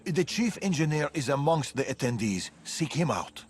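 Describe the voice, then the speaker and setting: An actor playing a guarded, weathered male veteran assassin from Persia in a video game, measured and serious. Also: on the high side, very animated, darkish tone. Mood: grave, instructing. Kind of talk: video game cutscene acting.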